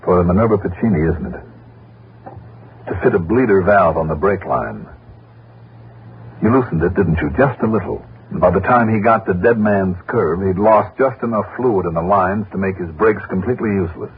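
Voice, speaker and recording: A man's voice: sits low, moderate pace, slight background noise, close to an everyday voice. An actor playing a shrewd, dogged male insurance investigator who also narrates in a 1960s radio drama. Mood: grim, accusing. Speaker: lead actor and narrator of a detective radio series. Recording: dull old radio recording with music.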